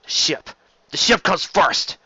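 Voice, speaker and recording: A man's voice: slow pace, sharp tone, dry recording, dull audio. A man voicing a resolute, urgent male military starship captain in a science fiction story.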